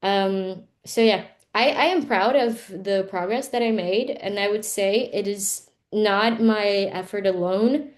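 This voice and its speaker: A woman's voice: mid-range voice, measured pace, few pauses. A Brazilian woman in her twenties whose first language is Portuguese, speaking English in a casual interview, frank and reflective, with many "like"s and "you know"s. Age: 20s. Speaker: interview guest.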